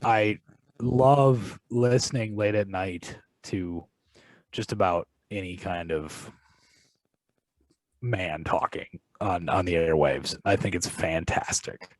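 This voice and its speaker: A man's voice: sits low, slow pace, no echo. A man co-hosting a film podcast in casual chat. He is wry and self-mocking and halts often on fillers. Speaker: podcast co-host.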